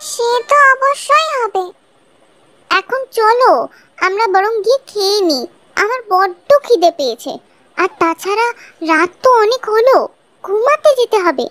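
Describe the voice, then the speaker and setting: Woman voicing an earnest, very high-pitched cartoon granddaughter. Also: expressive, a little slow, long pauses, fairly bright tone, heavily acted. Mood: caring. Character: caring young granddaughter, earnest and dutiful. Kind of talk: cartoon dialogue. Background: background music.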